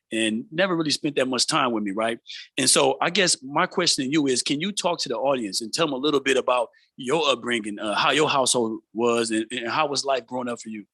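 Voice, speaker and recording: A man's voice: medium pitch, fast, a bit bright, slightly rough, dry recording. A man, hosting an informal motivational interview in a streetwise way, often checking in with a tag question. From San Francisco Bay Area, United States. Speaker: show host, interviewer.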